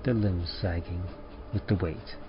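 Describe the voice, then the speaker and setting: Very deep-voiced man reading horror. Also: steady tone. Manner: measured, ominous. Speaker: podcast presenter reading horror fiction and poetry. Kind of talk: slow dramatic scripted narration in old-time radio manner. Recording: dull lo-fi audio with clear noise.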